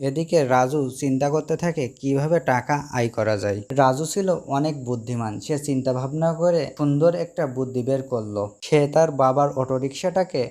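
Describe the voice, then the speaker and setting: A man's voice: mid-range voice, brisk, hardly any pauses, darkish tone. A man narrating a scripted cartoon fable as storyteller.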